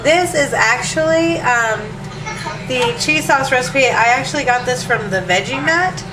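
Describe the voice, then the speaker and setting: Home cook, woman, a bit higher voice, stuffy from allergies, casual and rambling; distant camera mic, roomy kitchen echo. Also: measured pace. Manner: chatty, relaxed, lots of ums and asides. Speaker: home cook showing a recipe. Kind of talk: casual cooking demo. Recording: echoey room, distant mic, hiss.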